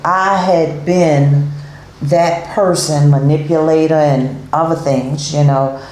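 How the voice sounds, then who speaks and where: A woman's voice: low-pitched, steady tone, slow, a little mellow, roomy sound, poor audio. A middle-aged woman hosting a talk show, chatting casually with guests, with many fillers and repeated yeahs. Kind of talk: casual interview chat.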